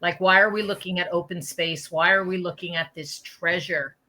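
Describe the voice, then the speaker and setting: A woman's voice: fairly low pitch, fairly even intonation, moderate pace, sharp tone. A woman speaking as a guest in an interview, conversational and emphatic, often checking that listeners follow. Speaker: interview guest, open space campaigner.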